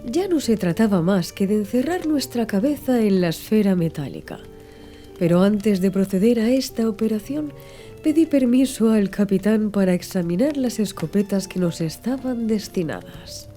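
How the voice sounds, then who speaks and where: Woman, audiobook narrator, scripted reading, mellow tone, animated intonation, background music. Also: medium pitch, normal speed.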